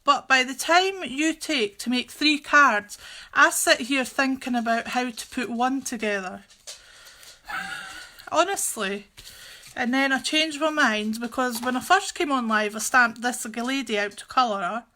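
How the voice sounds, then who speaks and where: A woman's voice: high voice, measured pace, bright, forceful tone. A woman with a Scottish accent chatting casually and jokily as she hosts a live craft stream, rambling as she goes.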